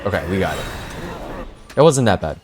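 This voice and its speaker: A man's voice: sits low, very animated, fairly quick, a little mellow, faint music behind. A man streaming gameplay, reacting casually with slangy exclamations and muttered asides to his chat. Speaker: game streamer.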